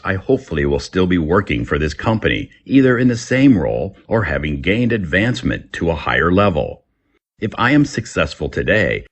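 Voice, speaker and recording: Male English teacher, low gravelly register, lively intonation, husky, scripted read-aloud. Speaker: English teacher reading lesson texts.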